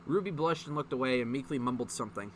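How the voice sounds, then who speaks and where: A man's voice: mid-range voice, quick pace, a little hiss or hum. A man reading a story aloud in a mocking, informal way, breaking off to add sweary, incredulous commentary. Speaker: online video host reading fan fiction aloud.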